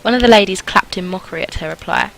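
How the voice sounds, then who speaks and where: A woman's voice: medium pitch, quick pace, fairly bright tone, rough recording. A chatty, self-deprecating woman reading a visual novel aloud with casual commentary. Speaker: gaming video host.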